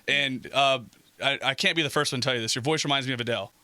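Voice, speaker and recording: Fast bright radio host man. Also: medium pitch, no room sound. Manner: enthusiastic, gushing praise. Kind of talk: casual interview chat. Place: radio studio. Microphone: studio microphone.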